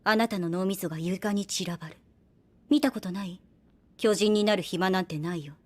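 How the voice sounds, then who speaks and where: An actress playing a shrewd young woman soldier in an anime drama, composed, unhurried and coolly persuasive with a soft teasing edge. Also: mid-range voice, lightly acted. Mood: cool threat. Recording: background music under the voice. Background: music.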